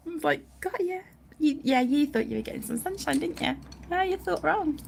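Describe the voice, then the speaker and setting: A woman's voice: high-pitched, expressive, a little fast, vocal fry, darkish tone, a little hiss or hum. A British woman chatting casually and in a rambling way as she hosts a colouring stream, often breaking off her own sentences. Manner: chatty, rambling, self-interrupting. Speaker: host of an adult colouring channel. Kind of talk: casual live chat while colouring.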